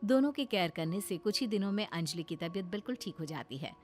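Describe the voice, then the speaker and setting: A woman's voice: mid-range voice, lively intonation, fast talker, loud music behind the voice. A woman narrating a scripted cartoon story as a storyteller.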